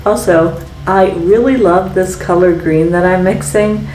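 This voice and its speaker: A woman's voice: on the low side, unhurried, a little mellow, distant mic, soft background music. A woman artist hosting a painting vlog, talking casually and reflectively while she mixes paint in her studio, with lots of fillers and little tag questions. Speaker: artist and channel host. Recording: echoey room, voice off-mic.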